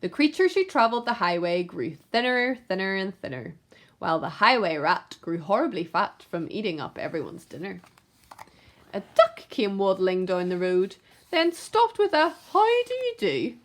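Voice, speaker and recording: A woman reading aloud to young children as an expressive picture-book storyteller. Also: mid-range voice, moderate pace.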